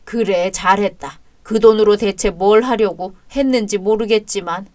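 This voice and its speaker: A woman voicing a calm, kindly older father-in-law who speaks low and gently to comfort. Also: normal speed, no echo, studio quality, natural voice. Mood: gentle.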